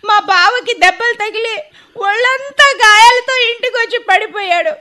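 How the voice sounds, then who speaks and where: A woman's voice: very high-pitched, moderate pace, sharp tone. A woman playing a distressed young wife who pleads through tears. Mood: tearful. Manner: weeping, pleading.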